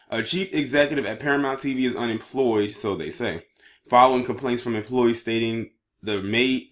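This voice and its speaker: A man presenting news commentary for an online video, reading articles aloud and adding his own remarks, sometimes stumbling over words. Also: on the low side.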